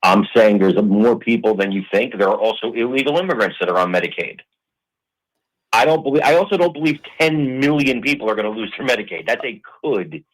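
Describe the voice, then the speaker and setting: A man's voice: lowish voice, animated, brisk, poor audio. A man in his forties, a blunt, streetwise guest arguing in a heated political debate. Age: 40s.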